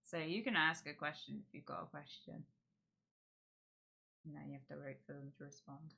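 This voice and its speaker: Bright, giggly streamer woman. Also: deep voice, fairly even intonation, moderate pace, gravelly, husky. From Czech. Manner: chatty, giggly, rambling. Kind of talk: casual live-stream chat.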